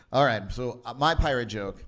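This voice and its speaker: A man's voice: mid-range voice, fairly bright tone. A man hosting a casual livestream chat, joking around and laughing easily. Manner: jokey, easygoing, laughs often. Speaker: podcast host.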